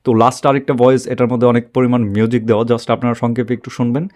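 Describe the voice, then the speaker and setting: A man giving an unscripted, step-by-step app tutorial in a halting, repetitive way. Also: medium pitch, level tone, a little mellow. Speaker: tech tutorial host.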